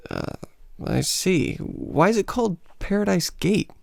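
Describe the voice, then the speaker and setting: Smitten American teacher, radio drama, boyish, halting, very animated pitch, creaky edges. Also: male voice, no echo, studio quality, full character voice. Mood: intrigued. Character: eager, smitten American male teacher on holiday. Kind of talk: radio play acting.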